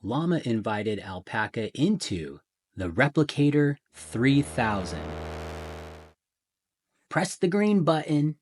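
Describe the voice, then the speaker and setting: A man reading a children's picture book aloud in a theatrical way, with deliberate pauses and emphasis. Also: medium pitch, sing-song, a little mellow, a little hiss or hum. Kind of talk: dramatic children's storybook narration.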